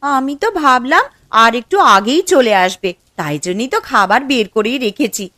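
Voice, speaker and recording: A woman playing a bossy but caring housewife in a cartoon moral story, brisk and quick to nag. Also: fairly high pitch, animated, bright, forceful tone, dry recording, clean studio sound. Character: bossy, caring housewife, easily piqued by husband.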